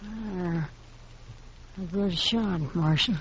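A woman's voice: fairly low pitch, drawn-out words, slight background noise. An actress playing a proud, wounded frontier woman, weak and fading, in a 1950s radio western. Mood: weak, resigned. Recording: muffled 1950s radio recording.

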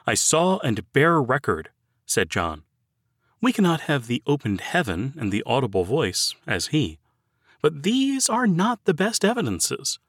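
A man narrating a written devotional sermon in a measured, earnest, formal oratorical manner. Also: mid-range voice, wildly swooping pitch, fairly bright tone, no room sound, clean studio sound. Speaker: audiobook narrator reading a sermon. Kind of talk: scripted devotional sermon reading.

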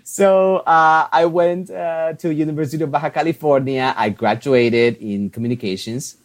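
Upbeat man, chatty and quick to laugh. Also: a little high. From Mexico. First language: Spanish. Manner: chatty, upbeat, laughs often, many restarts and fillers. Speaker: TV and radio host, guest. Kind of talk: interview answers.

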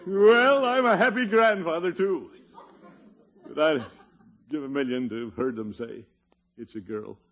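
An actor playing a blustery, soft-hearted middle-aged grandfather in a 1950s radio comedy, theatrical and swinging from booming exclamations to low murmurs. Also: measured pace, pauses often. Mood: moved. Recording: muffled vintage radio recording.

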